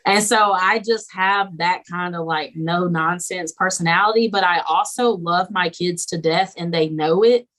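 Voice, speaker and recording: A young woman teacher chatting as a podcast guest, animated and laughing often, slipping into quoted voices as she tells stories. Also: lowish voice, a little slow, few pauses, rough recording. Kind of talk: casual podcast interview.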